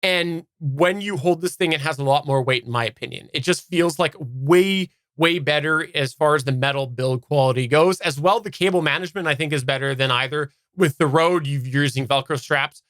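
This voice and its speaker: A man's voice: on the high side, moderate pace, few pauses, fairly bright tone, no room sound, clean studio sound. A man from Canada giving a casual, unscripted product review as a podcast host, explaining in detail and stressing key words.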